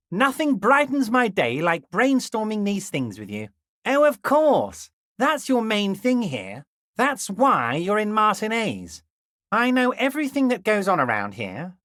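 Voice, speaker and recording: A man voicing a scheming, jovial middle-aged male union boss in a video game, smooth and ingratiating with a slyly patronizing edge, drawing out key words slowly. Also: high voice, fairly bright tone, no echo, clean studio sound, heavily acted. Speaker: dock union leader character.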